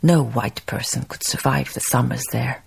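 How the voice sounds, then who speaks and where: An actress playing a grieving, dignified woman memoirist in a radio drama, speaking reflectively in a breathy, husky voice. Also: very deep voice, moderate pace, full character voice. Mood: wistful.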